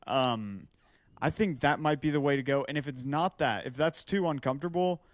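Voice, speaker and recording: A man's voice: mid-range voice, normal speed, no room sound. Guy on a podcast, mid-range voice, giving frank casual advice, very dry sound. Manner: advising, frank, swears casually. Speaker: podcast panelist.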